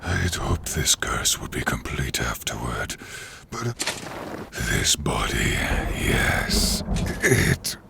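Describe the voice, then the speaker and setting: A man voicing a contemptuous, menacing undead warlord with a gravelly, breathy rasp in a scripted fantasy roleplay. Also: rumbling low, sing-song, noisy background, full character voice. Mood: gloating menace. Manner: menacing, slow, theatrical. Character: contemptuous undead male warlord with a bitter grudge against knights.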